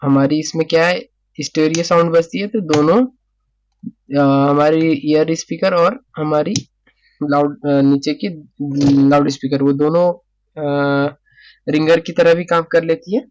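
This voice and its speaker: A man's voice: fairly high pitch, fairly even intonation, unhurried. A man talking through a repair tutorial as a technician, casually explaining, repeating phrases, checking in often and mixing in English technical terms.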